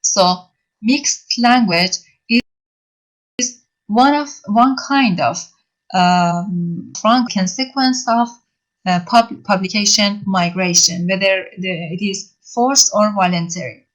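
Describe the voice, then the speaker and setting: A woman whose first language is Persian, giving an online lecture in English, halting and filling pauses often as she explains step by step. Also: medium pitch, poor audio. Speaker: university lecturer.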